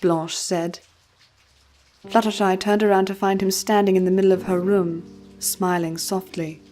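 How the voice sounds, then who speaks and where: A woman's voice: medium pitch, fairly even intonation, normal speed, mellow, soft background music. A woman narrating a story in a dramatic, scripted reading style.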